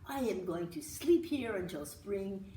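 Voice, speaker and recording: A woman's voice: mid-range voice, animated, mellow, close to an everyday voice. Woman playing growly little boy in a bear suit. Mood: stubborn. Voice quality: growly, drawn-out. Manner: slow, whiny, pretending to be a bear. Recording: roomy, distant mic with hiss.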